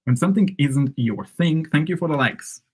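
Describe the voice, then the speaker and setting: A man whose first language is Hungarian, teaching English phrases in a relaxed live lesson and checking in with "right?" and "okay?". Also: a little high, moderate pace, breathy edge. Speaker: English coach.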